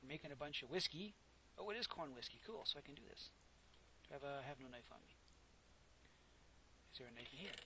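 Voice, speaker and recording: A soft-spoken man casually narrating his own gameplay, rambling and correcting himself as he goes, close to a desk microphone. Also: medium pitch, lively intonation, fast talker, pauses often, slight background noise. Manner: rambling, self-correcting, chatty. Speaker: gameplay commentator. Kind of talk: casual let's play commentary.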